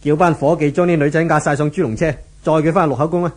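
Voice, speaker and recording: A stern police inspector played by a man with a husky voice and a Hong Kong Cantonese accent. He speaks in a firm, methodical, commanding way, as in a 1970s radio drama. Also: on the high side, fairly even intonation, fast talker, a little mellow, lightly acted. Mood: stern, probing. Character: authoritative, methodical male police inspector leading raids.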